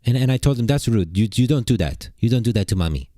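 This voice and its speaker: A man putting on a high falsetto voice as a fussy young housewife and mother, prattling and complaining in a mock-sweet way. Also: expressive, fast talker, dark tone, no echo, clean studio sound, lightly acted.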